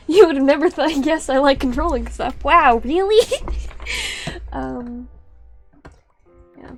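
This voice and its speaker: A young woman with a high-pitched voice talks casually on a live stream. She rambles, restarts her sentences and laughs often. Faint music plays behind her. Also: slow, pauses often.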